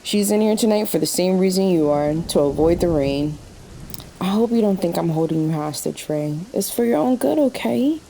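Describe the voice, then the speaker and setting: A woman's voice: on the low side, lively intonation, normal speed, creaky, mellow, a little hiss or hum, poor audio. A woman playing a weary, sassy night-shift waitress in a radio play, wry and talkative. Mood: fond, reflective. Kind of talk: naturalistic radio play acting. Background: rain and thunderstorm ambience in a diner scene.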